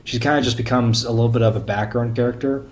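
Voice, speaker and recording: A man talks through his thoughts in a casual podcast discussion at a medium pitch. He often stammers and repeats words while finding his phrasing. Some room noise sits behind the voice. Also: fairly even intonation, fast. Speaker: podcast guest or panelist discussing a book.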